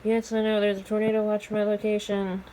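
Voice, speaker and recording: A woman's voice: mid-range voice, monotone, fast talker, mellow, poor audio. Casual woman vlogger with faint background noise. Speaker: amateur weather vlogger. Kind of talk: casual narration to camera.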